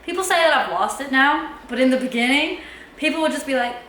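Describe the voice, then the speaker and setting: A young woman tells a story from her own life, high-pitched and bright-toned. She rambles in an easy, animated way and slips into her past self's words. The room is echoey, with a faint hum of background noise. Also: a little fast. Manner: chatty, animated, lots of "like", acts out her own past lines. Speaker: vlogger telling a personal story. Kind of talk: casual storytime.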